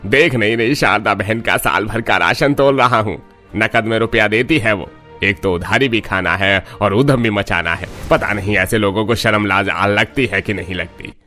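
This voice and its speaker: A man's voice: on the low side, normal speed, sharp tone, soft background music. Man playing a two-faced, greedy village grocer. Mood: sneering, scolding.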